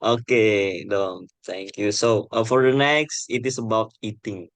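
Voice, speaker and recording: A man's voice: fairly low pitch, fairly even intonation, a little slow, creaky, no room sound. A twenty-year-old man, a non-native English speaker and university student, interviewing a classmate with hesitant speech full of fillers.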